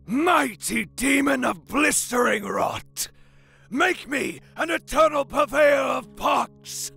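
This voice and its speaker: A fanatical sorcerer rotting for his dark god in a dramatic audio story. The voice is very high and screechy, thick with gravel, piercing and theatrical as it proclaims over music. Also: animated, slow, full character voice. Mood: commanding. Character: fanatical rotting male sorcerer cultist craving immortality.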